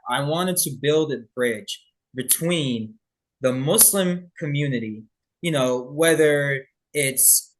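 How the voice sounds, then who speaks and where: A man, a former police officer answering interview questions in a measured, reflective way. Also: medium pitch, a bit bright, rough recording. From Birmingham, Alabama.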